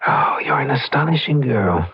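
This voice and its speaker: Husky, animated married charmer, old radio. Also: male voice, mid-range voice, normal speed, full character voice. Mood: flirtatious. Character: skeptical, flirtatious married man, smooth-talking and uneasy. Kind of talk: old-time radio drama acting. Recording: dull old radio recording.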